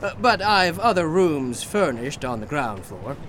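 An actor playing an eccentric, boastful painter of horrors, talking fervently and persuasively in a radio drama. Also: male voice, on the high side, big pitch swings, measured pace, a bit bright, slight background noise. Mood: confiding.

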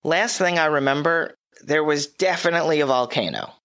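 A man hosting his own podcast, reading a scripted movie review with dry, wry asides, his voice a little hoarse from a cough. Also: fairly high pitch, moderate pace, fairly bright tone. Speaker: podcast host.

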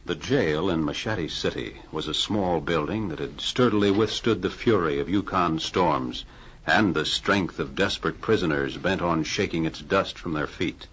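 Old timey radio narrator guy, low voice, big formal dramatic delivery, hissy dull recording. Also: normal speed, fairly bright tone. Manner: declamatory, formal. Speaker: announcer and narrator.

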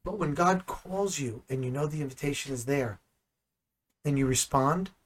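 A man in ministry teaching the Bible in conversation, animated and full of rhetorical questions. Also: mid-range voice, moderate pace. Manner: animated, asks rhetorical questions, acts out dialogue.

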